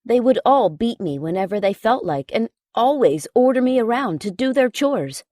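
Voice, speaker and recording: Expressive woman narrating an audiobook. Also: on the high side, moderate pace, no echo, studio quality. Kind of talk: scripted audiobook narration, first-person storytelling.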